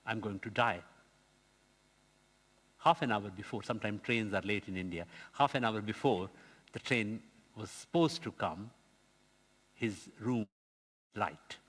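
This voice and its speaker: A man preaching an earnest sermon, telling a story with halting restarts and pauses. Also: fairly low pitch. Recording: long church reverb.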